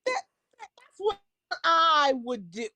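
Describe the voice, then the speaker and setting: A woman with a high voice argues on a casual talk show. She is insistent and emphatic, pushing back firmly. The recording is close and dry. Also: big pitch swings, slow, bright, forceful tone, no room sound. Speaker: podcast panelist. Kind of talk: heated casual debate.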